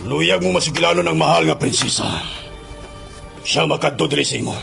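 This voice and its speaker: A man's voice: a little high, brisk, vocal fry, music in the background. A man acting in a radio drama as a menacing, commanding harpy who guards a prisoner. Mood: taunting. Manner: commanding, threatening.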